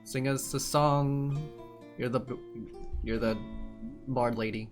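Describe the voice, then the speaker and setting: Male gaming streamer, mid-low pitch, casual commentary, musing aloud, faint music behind. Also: steady tone, slow pace. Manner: reacting aloud, musing questions.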